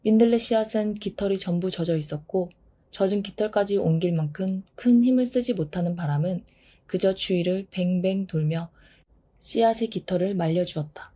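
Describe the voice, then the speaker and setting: A woman narrating a children's storybook in a calm, measured reading. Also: mid-range voice.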